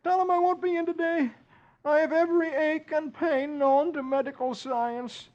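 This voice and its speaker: A man voicing a whiny, hammy, lazy suburban husband from a comic strip in a strained squeaky falsetto, in 1950s radio style. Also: fairly even intonation, normal speed, a little mellow. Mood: groaning, sickly. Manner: exaggerated moaning and exclaiming. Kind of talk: 1950s radio funnies reading. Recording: muffled old radio recording.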